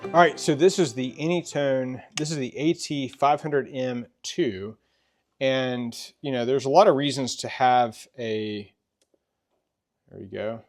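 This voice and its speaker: A man's voice: medium pitch, measured pace, soft background music. A middle-aged man hosting a casual product review, informal and conversational, sometimes repeating himself. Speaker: video host.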